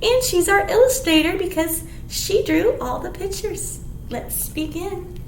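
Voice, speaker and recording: A woman's voice: very high-pitched, lively intonation, measured pace. A woman leading a storytime for small children as a librarian, reading aloud and singing in simple, sing-song phrases. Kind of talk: children's storytime read-aloud with songs and rhymes. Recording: echoey room, clear background noise.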